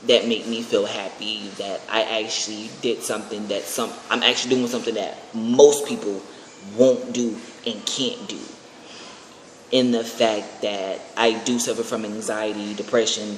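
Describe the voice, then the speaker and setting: The recording is very echoey, with faint noise behind it. An American man with a fairly low voice talks informally, as if giving a personal vlog update. He rambles, repeating and restarting phrases as he goes. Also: flat delivery, a little slow. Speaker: aspiring singer and video creator. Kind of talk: casual personal vlog announcement.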